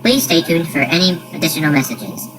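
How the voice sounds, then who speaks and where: A woman's voice: deep voice, sing-song, fairly quick, over music. A woman announcer reads a scripted emergency alert in a formal, impersonal manner.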